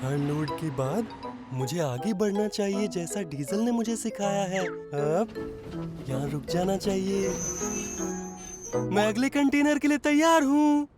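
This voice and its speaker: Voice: medium pitch, lively intonation, a little slow, music in the background, natural voice. An actor voicing an eager, polite young train engine in a cartoon dub, earnest and cheerful.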